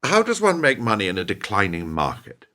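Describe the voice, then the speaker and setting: A man's voice: lowish voice, huge pitch swings, fairly quick, sharp tone, full character voice. A man acting in a radio play as a cynical, scheming businessman, scornful and unrepentant, with a theatrical, pointed delivery. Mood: sly, coy.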